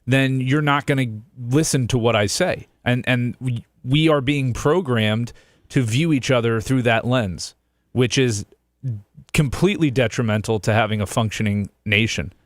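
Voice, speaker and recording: A man's voice: medium pitch, normal speed, no echo. A man co-hosting a talk show, explaining his points earnestly and repeating words as he forms them. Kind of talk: casual discussion.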